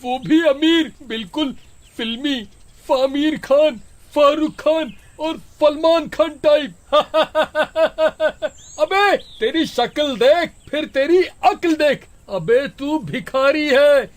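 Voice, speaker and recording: A man's voice: very high voice, drawn-out words, exaggerated voice. A man voicing a young male beggar in a comic horror story, whining and cocky, with exaggerated complaining and mockery. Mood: mocking bravado.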